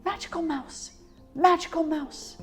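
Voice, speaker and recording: A woman's voice: very high voice, unhurried, soft background music, pitched above the performer's own voice. A woman voicing a kind, anxious old lady in a storybook, tender and given to exclaiming. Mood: pleading. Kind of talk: storybook character voice.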